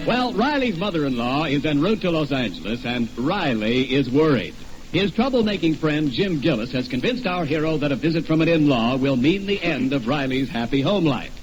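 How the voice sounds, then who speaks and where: A man's voice: on the high side, lively intonation, normal speed, faint music behind, close to an everyday voice. A man playing an earnest, formal American radio announcer in a stirring, scripted 1940s style. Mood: upbeat. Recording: dull, noisy radio-style recording.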